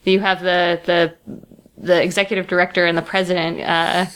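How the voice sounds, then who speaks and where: A woman from Long Island co-hosting a podcast in casual, chatty talk, halting now and then on fillers. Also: fairly low pitch, flat delivery, fairly bright tone, smooth. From Long Island, New York. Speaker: podcast co-host. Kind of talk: casual chat.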